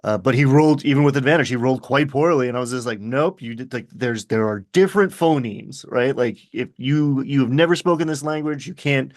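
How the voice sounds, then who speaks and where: A man talking casually as a game master, telling stories in an animated way and acting out little asides. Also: mid-range voice, a little fast, hardly any pauses. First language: English. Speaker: game master discussing his tabletop games. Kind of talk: casual conversational chat.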